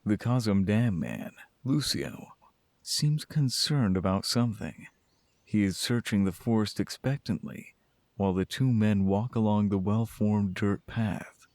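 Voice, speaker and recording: A man's voice: lowish voice, animated, unhurried, a little mellow, no room sound. A man narrating a scripted fantasy audio drama as storyteller.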